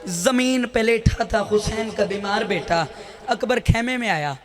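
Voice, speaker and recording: A man reciting a mournful elegy in a wailing, sing-song chant, at times shouting. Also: high-pitched. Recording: echoey hall.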